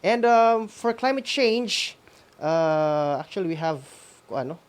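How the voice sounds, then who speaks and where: A man's voice: on the high side, lively intonation, slow pace, smooth. A man with a Filipino accent hosting and informally recapping a lesson for students, hesitant and often adding a questioning tag. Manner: hesitant, frequently tags phrases with "no?". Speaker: host.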